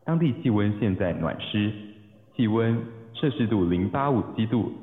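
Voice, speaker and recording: A man's voice: on the low side, lively intonation, moderate pace, close to an everyday voice. A man playing a formal, courteous airline announcer in a radio drama, with dull, echoey sound.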